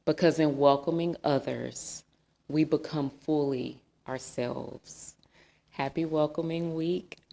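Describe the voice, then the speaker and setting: Slow, low-voiced woman preaching. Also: fairly even intonation, vocal fry, dark tone. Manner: earnest, reflective, with rhetorical questions. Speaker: guest speaker. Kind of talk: sermon. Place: church sanctuary.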